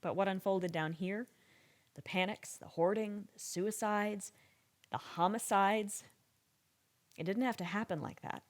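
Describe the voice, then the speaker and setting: A woman playing a wry, candid government scientist giving conversational interview testimony with deliberate pauses in an audio drama. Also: mid-range voice, lightly acted. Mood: earnest, frank.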